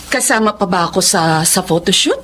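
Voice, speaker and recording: A woman's voice: medium pitch, lively intonation, brisk, fairly bright tone, faint music behind, natural voice. An actress playing a timid, belittled wife and mother who is near breaking, in an emotional Tagalog drama. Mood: meek, resigned.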